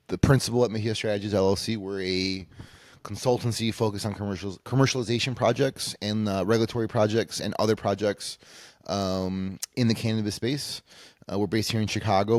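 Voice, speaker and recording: A man from Peru speaking as an interview guest, casually recounting his career in a halting way, often circling back to rephrase. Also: fairly low pitch, fairly even intonation, darkish tone, no echo. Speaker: interview guest, cannabis industry consultant.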